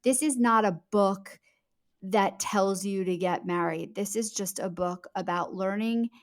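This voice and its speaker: Female author, podcast guest. Mid-pitched, conversational, emphatic and explanatory, phrasing things deliberately. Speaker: author being interviewed.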